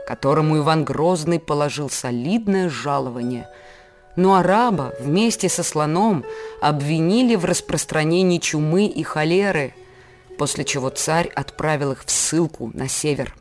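A patient mother, played by a woman, speaks in a scripted children's programme with music behind her. Her delivery is measured and explanatory, like storytelling with a lesson in it. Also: sits low, a little mellow. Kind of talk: scripted educational narration for children.

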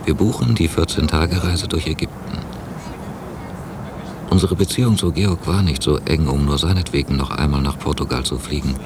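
A low male voice plays a doubtful, brooding man in a radio play. He reflects in a measured way. The old cassette copy carries clear hiss and noise. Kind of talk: radio drama inner monologue and dialogue.

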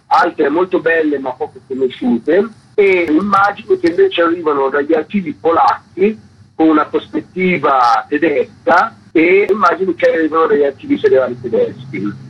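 A man with a raspy, creaky voice explaining his work as a curator being interviewed. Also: fairly high pitch. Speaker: photography exhibition curator interviewed as guest. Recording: dull, lo-fi line.

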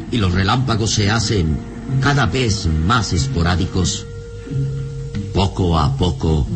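An actor as the storyteller of an adventure radio serial, narrating in a theatrical, suspenseful, carefully enunciated way. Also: male voice, fairly low pitch. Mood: atmospheric.

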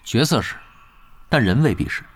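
An actor playing a relaxed veteran gamer who teases the others. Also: male voice, medium pitch, normal speed, husky. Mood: teasing. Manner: relaxed, teasing. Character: laid-back, teasing veteran gamer man.

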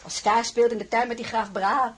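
An actress playing a familiar, matter-of-fact maidservant in an audio drama, slightly husky. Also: female voice, fairly high pitch, fairly even intonation, moderate pace, noisy background, natural voice. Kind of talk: Dutch audio drama acting.

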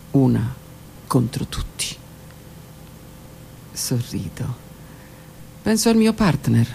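A woman performing a dramatic first-person monologue in a deliberate, theatrical manner with weighted pauses. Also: very deep voice, creaky, slight background noise. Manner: theatrical, deliberate, with pauses and hushed near-whispered phrases. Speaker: theatre performer and prison drama workshop leader.

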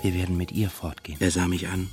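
Radio play first-person narrator: deep, level, pensive, music underneath. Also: male voice, little pitch movement, fast. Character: pensive, observant man recounting his thoughts. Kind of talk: literary radio drama narration.

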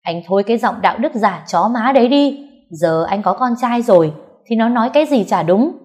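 A woman voicing a fiery, foul-mouthed younger sister who shouts and scolds in a high, sharp voice. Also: lively intonation, moderate pace, close to an everyday voice. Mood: angry. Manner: loud and fierce.

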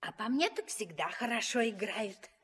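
A woman's voice: mid-range voice, expressive, normal speed, a bit bright, slightly rough, big-room sound. An actress playing a wistful, hurt housewife mother in a radio play, speaking in a homely, plaintive way. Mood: wondering, chatty. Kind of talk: Soviet radio play acting.

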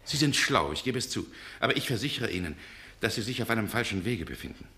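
A domineering, short-tempered gentleman from a radio play. His voice is tense and bright, swinging widely in pitch as he scolds and commands with open contempt. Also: animated, quick pace. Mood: probing, contemptuous. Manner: forceful, impatient, contemptuous, commanding. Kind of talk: German radio play acting.

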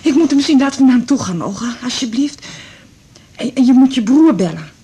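An actress playing an anxious, bewildered middle-aged mother, fretful and halting, in a 1980s radio drama. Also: high voice, animated, dark tone, exaggerated voice. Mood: grieving, distraught. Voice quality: breathy, husky. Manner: fretful, repeating herself, halting.

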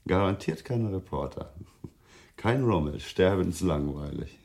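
An actor playing a kindly, consoling bachelor friend in a German radio crime drama, unhurried and familiar in manner. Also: male voice, low voice, expressive, vocal fry, a little mellow, full character voice. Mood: casual invitation. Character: Peter, kindly Irish bachelor, sympathetic friend.

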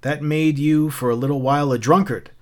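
A man's voice: on the high side, steady tone, a little fast, pitched above the performer's own voice, close to an everyday voice. A man voicing a smug, taunting fire captain in a story, mocking and theatrical as he spouts literary quotations. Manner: theatrical, mocking, quote-laden speech.

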